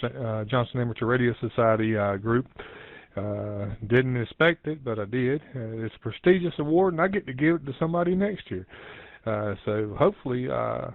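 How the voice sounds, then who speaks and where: A man giving a casual, halting, meandering update as an amateur radio operator on a net. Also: medium pitch, no echo. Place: home office. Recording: dull narrowband internet radio link.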